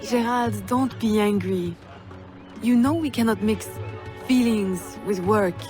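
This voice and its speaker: A woman's voice: medium pitch, darkish tone, natural voice. Resolute young woman assassin played by an actress, music behind. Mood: guarded. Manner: measured, resolute, formal. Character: proud, defiant young woman assassin freeing slaves. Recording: music under the voice.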